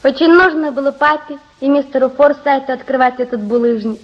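An actress playing an emotional, impatient young daughter in a radio play. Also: female voice, sits high, fairly even intonation, brisk, muffled recording, natural voice. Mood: anxious.

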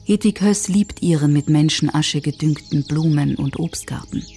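A female narrator reads a scripted radio feature. Her voice is soft-edged and dark, a little on the low side. She stays factual and sober while faint music plays underneath. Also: moderate pace. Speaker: documentary narrator.

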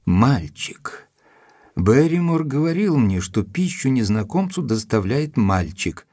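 An actor playing a courteous, inquisitive English gentleman doctor in an audio drama, speaking in a measured, polite, articulate way. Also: medium pitch, very animated, slightly rough, no echo, clean studio sound, heavily acted. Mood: thoughtful. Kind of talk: audio drama dialogue and narration.

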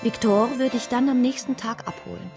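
An actress in a German radio drama playing an earnest, genteel lady who tells her story gravely and with composure. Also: fairly high pitch, moderate pace, over music. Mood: troubled narration. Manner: composed, grave, well-spoken. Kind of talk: German radio drama acting and first-person narration.

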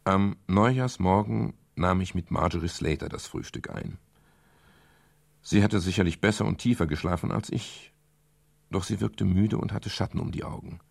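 An actor playing a world-weary private detective in a German crime radio play, wry and measured, with a gravelly, husky voice. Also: male voice, low voice. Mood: reflective. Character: world-weary male private detective narrating his case. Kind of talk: German crime radio play, first-person narration and dialogue.

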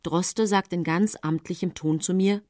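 An actress playing a tense, guarded accused woman, narrating her story in measured literary radio drama style. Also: on the low side, fairly even intonation, dark tone, no room sound, clean studio sound, natural voice. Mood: guarded dread.

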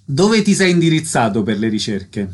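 A man's voice: medium pitch, sing-song, normal speed, a little mellow, breathy edge. A man interviewing a guest on radio, asking concise, prepared questions.

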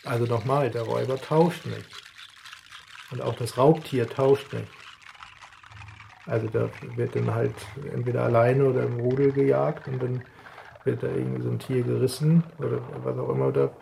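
A man with a creaky, mellow voice thinks aloud in an academic way. He explains his ideas reflectively and off the cuff, with faint noise behind him. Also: medium pitch, moderate pace, poor audio.

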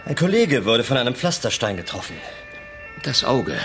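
A man's voice: medium pitch, very animated, moderate pace, over music. An actor playing a terse, official policeman on patrol in a radio drama.

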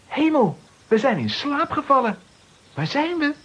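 A woman's voice: high voice, big pitch swings, measured pace, fairly bright tone, full character voice. A woman voicing a curious, spirited child adventurer in a children's radio play. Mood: surprised. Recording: slightly dull, lo-fi recording.

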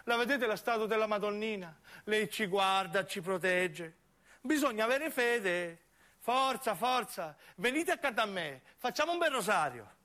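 Man doing a high-pitched, insistent pious nun. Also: expressive, normal speed, bright, forceful tone. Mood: coaxing, fervent. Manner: insistent, chanting, coaxing. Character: pious motherly nun leading fellow travellers in rosary. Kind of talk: theatrical radio monologue.

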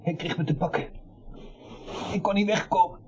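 A man's voice: high voice, steady tone, normal speed, darkish tone, close to an everyday voice. An actor playing a dying doctor in a radio play, weakly gasping out his words. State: weak, gasping. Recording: muffled old radio play, noisy background.

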